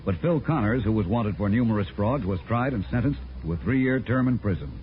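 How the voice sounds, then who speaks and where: An actor playing a calm, businesslike FBI agent with formal, clipped delivery in 1950s radio drama style. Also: male voice, lowish voice, a little fast, a little hiss or hum, lightly acted. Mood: matter-of-fact. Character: calm, matter-of-fact male FBI agent narrating his case. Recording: muffled 1950s radio recording, music behind.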